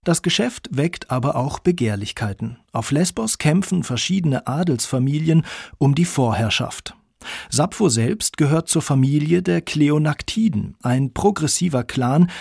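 Gravelly, husky man narrating a radio feature. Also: fairly high pitch, lively intonation, moderate pace, darkish tone, no echo, clean studio sound. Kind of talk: scripted narration.

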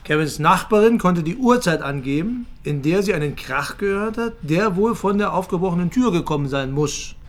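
An actor playing a matter-of-fact police detective in a TV crime drama, reporting plainly and factually. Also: male voice, high-pitched, expressive, normal speed, few pauses. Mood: businesslike. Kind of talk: naturalistic German TV drama dialogue.

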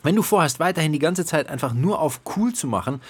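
A man playing an irritable, defensive father and recovering addict in a naturalistic radio play, with a halting, lecturing manner that can flare up. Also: a little high, animated, slightly rough. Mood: angry, exasperated. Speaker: radio drama actor.

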